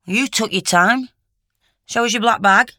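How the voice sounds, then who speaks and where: A woman's voice: fairly high pitch, a little slow, pushed and bright, dry recording, voice pushed up. Casting: cheeky young female care worker for an audiobook, played by a woman, chatty, colloquial, exasperated, close dry sound.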